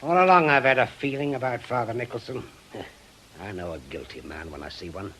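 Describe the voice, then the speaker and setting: A man's voice: medium pitch, animated, moderate pace, a bit bright, a little hiss or hum, natural voice, rough recording. An actor playing an obsessive, cantankerous veteran ex-policeman in a British radio drama, brusque, scornful and insistent. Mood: bitter, triumphant.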